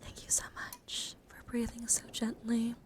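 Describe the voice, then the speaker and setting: A woman livestreamer giving a guided ASMR relaxation in a gentle, soothing, encouraging manner. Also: a little high, completely flat delivery, unhurried. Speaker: livestream host.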